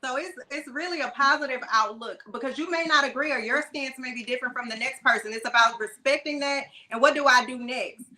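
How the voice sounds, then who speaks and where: A woman's voice: high voice, brisk, few pauses, bright, forceful tone. A woman panelist in a casual group discussion, speaking reflectively and agreeing with the others.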